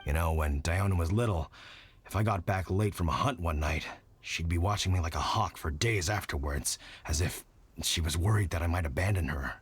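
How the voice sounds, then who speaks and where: A man's voice: low-pitched, normal speed, husky. A man voicing a kindly middle-aged hunter dad in a video game, folksy, reflective and supportive. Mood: concerned, reflective.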